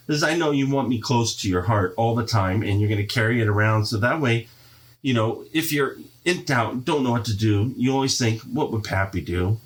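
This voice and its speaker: A man's voice: mid-range voice, a bit bright. A man hosting his own podcast in a casual solo monologue, chatty and playfully boastful, sounding congested and stuffy-nosed. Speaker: podcast host.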